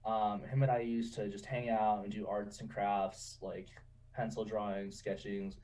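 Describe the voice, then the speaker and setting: A man in his twenties telling stories in a relaxed, chatty way, laughing often and saying "you know" a lot. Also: on the low side, unhurried, distant mic, rough recording. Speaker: guest. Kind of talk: casual interview storytelling.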